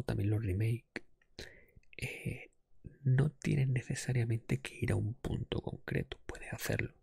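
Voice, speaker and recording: A man hosting an ASMR podcast monologue in a hushed, breathy whisper, explaining things hesitantly. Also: medium pitch, dry recording. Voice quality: breathy, mostly unvoiced whisper. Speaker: podcast host.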